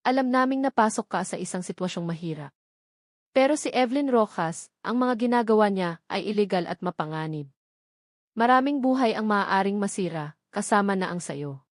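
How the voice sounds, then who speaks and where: A composed male investigator from an audio drama, read by a woman with a Filipino accent. He speaks calmly but with emphasis, firm and persuasive. The sound is close and dry. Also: mid-range voice, moderate pace, no echo, close to an everyday voice.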